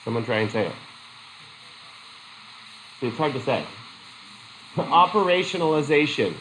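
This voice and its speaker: A middle-aged man with a cold teaching a psychology methods class, halting and restarting as he questions students in a whiteboard classroom. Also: medium pitch, lively intonation, a bit bright, slight background noise, rough recording. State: has a cold. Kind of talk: classroom lecture.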